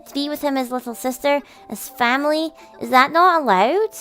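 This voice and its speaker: A woman voicing a precocious, devoted little sister from a visual novel, with a soft, polite, doting manner. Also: high voice, normal speed, faint music behind, voice pushed up. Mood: lonely, pleading. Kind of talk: visual novel character voice in a let's play.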